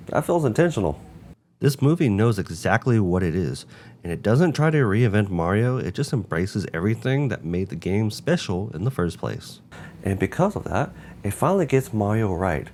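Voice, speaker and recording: A man presenting a semi-scripted review as a show host, with emphatic, measured phrasing and stressed openers. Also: on the low side, darkish tone, slight background noise. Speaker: host of a video game and film review show.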